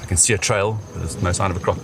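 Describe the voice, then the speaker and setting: A man recounting his expedition experiences in spontaneous, halting first-person storytelling, with vivid phrasing and words repeated for effect. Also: sits low, steady tone. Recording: clear water and outdoor noise behind. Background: water and outdoor ambience.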